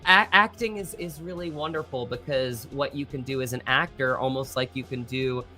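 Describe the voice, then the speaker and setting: A man gives casual, thoughtful interview answers as an actor guest. His mid-pitched voice is bright and forceful. He speaks earnestly and often halts to find his words, with music in the background. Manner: earnest, halting and reflective, searching for words.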